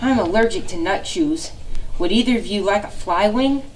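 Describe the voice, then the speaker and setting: A woman voicing a helpful, thoughtful female spider in a storybook reading, in a high animated voice. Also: moderate pace, far from the mic, slight background noise, close to an everyday voice, low-quality recording. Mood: lively.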